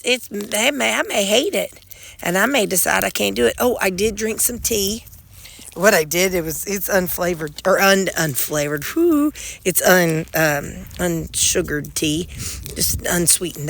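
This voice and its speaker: A woman's voice: lowish voice, vocal fry, a bit bright, a little hiss or hum. A middle-aged woman with a slightly nasal voice, chatting casually and rambling to her phone camera outdoors while pulling weeds. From Missouri, United States. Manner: rambling, chatty, folksy with frequent restarts. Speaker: vlogger. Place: outdoors beside a gravel bed and sidewalk. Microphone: phone held in hand.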